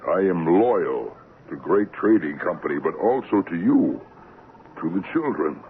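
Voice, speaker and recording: Very deep, grave outpost worker. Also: male voice, lively intonation, measured pace. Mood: grave resolve. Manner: solemn, formal phrasing. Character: grave, secretive Congolese male trading company worker. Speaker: radio drama actor. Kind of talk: 1970s radio drama acting. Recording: muffled, noisy old radio broadcast.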